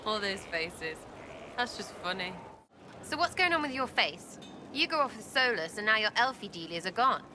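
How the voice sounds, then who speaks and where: Brash, animated elf girl, played by a woman. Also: medium pitch, moderate pace, background noise, close to an everyday voice. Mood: teasing. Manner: cheeky, blunt, slangy teasing. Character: brash, mocking young female elf rogue, irreverent and slangy. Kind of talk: dramatic video game acting.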